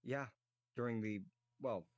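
A man improvising in-character dialogue as a roleplay player, in a halting, hesitant way. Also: medium pitch, no echo, dull audio.

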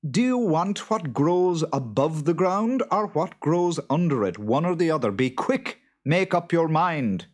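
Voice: medium pitch, sing-song, moderate pace, darkish tone, pitched above the performer's own voice. A storyteller voicing a farmer's wife in a children's tale, shrewd and sensible, persuasive and a little bossy. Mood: brisk, persuasive.

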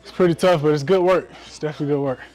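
A laid-back young man talks through his workout in a big indoor gym, his voice sitting a touch high. He is close on a clip-on mic, with music playing clearly behind him. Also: fairly even intonation, very fast, darkish tone, rough recording. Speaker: football player vlogging his workout. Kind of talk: casual gym chat.

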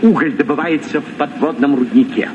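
An actor playing a proud, dry scientist in a Soviet radio drama, speaking in a theatrical, emphatic, pompous way. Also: male voice, fairly high pitch, brisk, distant mic, lightly acted. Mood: lecturing. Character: dry, proud old scientist, discoverer of Atlantis. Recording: noisy, muffled old radio recording.

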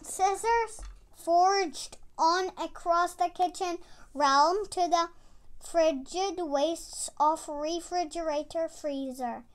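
A storybook reader with a dramatic, expressive delivery, reading a story aloud. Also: extremely high pitch, slow. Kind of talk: animated storybook read-aloud.

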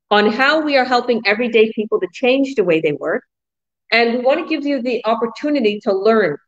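A woman hosting an online business talk over a video call, pitching with enthusiastic, repetitive sales energy. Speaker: host. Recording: muffled video call audio.

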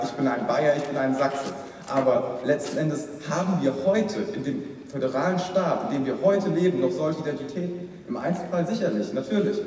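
A young man from Rhineland-Palatinate giving a formal, measured debate speech at a lectern, repeating phrases as he builds his case. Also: fairly high pitch, darkish tone, slight background noise. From Rhineland-Palatinate, Germany. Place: large hall, at a lectern. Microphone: far camera. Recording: echoey hall recorded from far off.

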